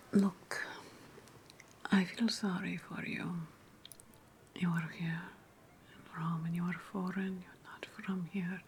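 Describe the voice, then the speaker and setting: Lady doing a sly roman witch hostess for asmr roleplay, seductive and condescending, super slow breathy whisper, bit of background noise. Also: on the low side, rough recording. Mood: condescending, pitying.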